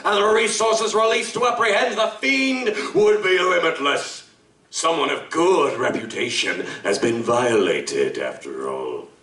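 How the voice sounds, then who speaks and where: A man's voice: fairly high pitch, sharp tone. A man playing a savage, articulate monstrous killer in a live audio drama, theatrical, grandiloquent and contemptuous. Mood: gloating. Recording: roomy live stage, distant mic.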